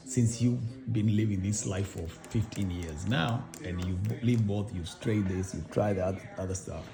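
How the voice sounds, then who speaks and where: A middle-aged man conducting an informal interview, speaking haltingly and rephrasing his questions as he goes. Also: lowish voice. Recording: echoey room with people in background.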